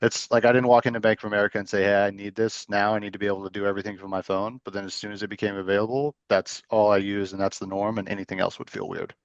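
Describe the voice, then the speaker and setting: A man of thirty chatting in a relaxed way and telling short personal stories as a co-host. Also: on the low side, racing, hardly any pauses, no room sound, lo-fi sound. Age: 30s. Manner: relaxed, anecdotal. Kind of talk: casual chat.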